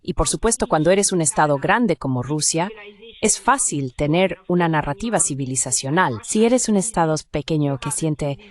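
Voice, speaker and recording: Low-pitched woman explaining as an expert. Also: lively intonation, moderate pace. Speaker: interview guest, academic expert.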